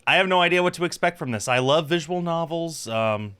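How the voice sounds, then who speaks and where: A man's voice: a little high, animated, fairly quick, sharp tone, clear, resonant tone. A man in his thirties giving casual game stream commentary and reading on-screen text aloud. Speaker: streamer, host. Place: indoor room at a streaming setup. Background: music.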